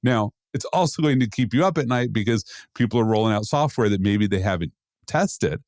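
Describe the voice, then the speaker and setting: A man's voice: on the low side, expressive, brisk, darkish tone, dry recording, clean studio sound. A man co-hosting a panel podcast, giving firm opinions in an emphatic, explanatory way. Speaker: podcast co-host and commentator.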